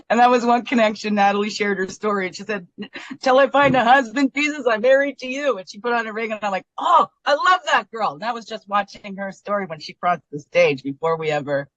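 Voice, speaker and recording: A woman speaks heartfelt and rambling, close to tears, her voice at an ordinary middle pitch. The call audio sounds dull and low-fidelity, completely dry with no room sound. She shares openly with a supportive group. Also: a bit bright, no room sound. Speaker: guest member. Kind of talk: casual emotional sharing on a video call.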